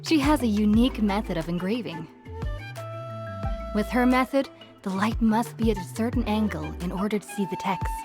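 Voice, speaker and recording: A woman voicing a chatty, warm-hearted village woman in a fantasy video game, explaining things in a slightly flustered way. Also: mid-range voice, wildly swooping pitch, normal speed, music in the background. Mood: reassuring.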